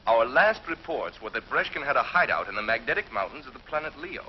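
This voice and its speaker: Man doing a methodical space scientist, animated delivery, muffled old tv track. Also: medium pitch, fast talker, close to an everyday voice. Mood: thoughtful. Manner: methodical, explanatory. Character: thoughtful male space scientist. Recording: muffled old TV soundtrack with faint hiss.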